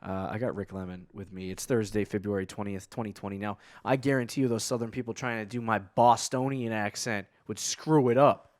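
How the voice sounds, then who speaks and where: Podcast co-host, man from New England, low voice, dry close mic, loose chatty delivery. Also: steady tone, a little fast, hardly any pauses, no echo. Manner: loose, laughing, trails off and restarts. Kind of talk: casual sports chat.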